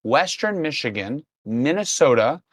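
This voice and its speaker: A man's voice: medium pitch, big pitch swings, a little slow, slightly rough, no echo. A man who has lost his voice, co-hosting a casual sports podcast and rambling through a list of teams while correcting himself. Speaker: podcast co-host.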